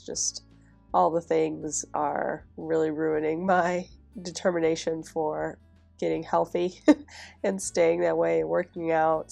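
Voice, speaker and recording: A woman's voice: deep voice, creaky. A young woman with a stuffy, nasal voice from a cold, chatting casually in a voice-over as she decorates a planner. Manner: chatty, relaxed, with soft laughs. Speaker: planner vlogger.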